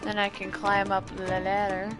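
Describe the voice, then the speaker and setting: Girl gamer just chatting while she plays, playful, repeats herself, music clearly behind. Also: medium pitch, completely flat delivery, moderate pace. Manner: playful, repeats phrases and talks to the game. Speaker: gaming streamer. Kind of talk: casual gameplay commentary.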